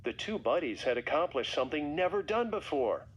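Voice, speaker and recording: A man narrating a scripted read-along story for children in a dramatic, expressive way. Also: fairly high pitch, fairly quick, slight background noise, low-quality recording. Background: music.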